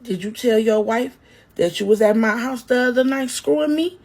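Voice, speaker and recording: A woman's voice: on the low side, fairly even intonation, fairly quick, dark tone, husky. A woman voicing a drunk, furious young ex-wife who screams and taunts. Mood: screaming.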